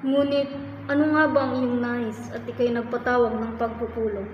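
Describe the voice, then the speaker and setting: A woman's voice: a little high, steady tone, moderate pace, faint music behind, natural voice. A woman playing a courteous, formal figure in a student radio drama. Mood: questioning. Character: courteous, formal host and meeting member. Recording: distant, muffled, echoey hall.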